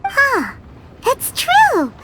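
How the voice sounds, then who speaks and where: A woman voicing a tiny, excitable fairy companion girl in a video game, with a squeaky childlike voice and perky, exclamatory delivery. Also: extremely slow, heavy background noise, exaggerated voice. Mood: curious, surprised.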